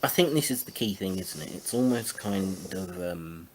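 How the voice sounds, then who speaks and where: A man giving casual analysis in a tentative, measured manner as a panelist. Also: deep voice, animated. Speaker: panelist on a commentary show. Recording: faint background noise.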